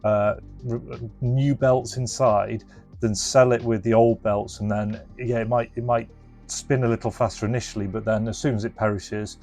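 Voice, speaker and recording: A man with a fairly low voice presents a casual hands-on demonstration. He explains things informally, thinking aloud as he works through each step. Some music can be heard with his voice. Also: fairly even intonation, normal speed, a little mellow. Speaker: presenter and reseller of restored retro electronics.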